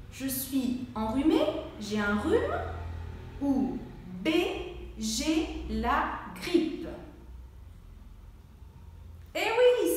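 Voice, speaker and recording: High, slow-speaking woman teacher in an echoey room. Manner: slow and clear, acting out symptoms with coughs and sneezes; addresses the viewer directly, encouraging. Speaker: French teacher. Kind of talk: language lesson for learners. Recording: very echoey room, voice from far off the mic.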